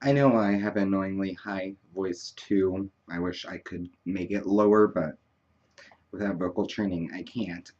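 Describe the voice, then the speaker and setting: A man's voice: deep voice, unhurried, darkish tone, dull audio. A man hosting a makeup tutorial, chatting casually in a wandering, self-deprecating way. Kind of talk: casual rambling tutorial chat.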